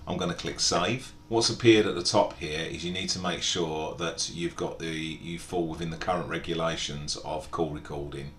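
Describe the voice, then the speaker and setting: A man with a low-pitched voice walks through software steps as an instructor. His delivery is methodical and informal, explaining each option as he goes. The room is echoey, with some low rumbling noise behind him. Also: steady tone, moderate pace, hardly any pauses. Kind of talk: informal step-by-step screen tutorial narration.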